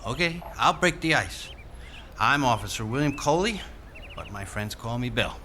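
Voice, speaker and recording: An actor playing a calm, friendly police officer in an audio drama, measured and placating as he negotiates. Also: mid-range voice. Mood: calm, coaxing. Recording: faint city noise behind.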